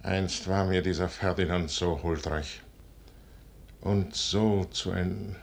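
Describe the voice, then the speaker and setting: An actor playing a proud, brooding army commander in a 1950s German radio drama, declaiming gravely and deliberately with a gravelly voice. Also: male voice, deep voice, fairly even intonation, a little hiss or hum, heavily acted. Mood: reflective, grave. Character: proud, brooding male army commander torn before a fateful decision.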